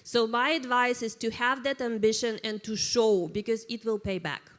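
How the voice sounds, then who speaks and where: Woman panelist, slightly high voice, earnest and motivating. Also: fairly even intonation, moderate pace. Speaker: startup founder on a panel. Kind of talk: live panel discussion.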